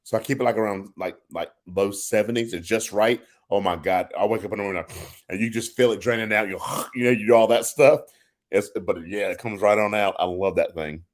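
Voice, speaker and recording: A man with a very low, deep voice chats casually as a co-host. He speaks bluntly and is firm in his opinions. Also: brisk. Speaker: podcast co-host. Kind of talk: casual wrestling talk.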